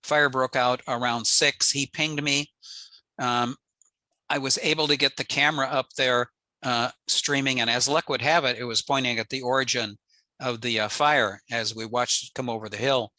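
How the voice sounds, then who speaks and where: A man's voice: medium pitch, fairly even intonation, moderate pace, sharp tone, no echo, poor audio. An older American man giving an informal slide presentation in a matter-of-fact, explanatory way. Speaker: presenter, amateur radio operator. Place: indoors on a video call.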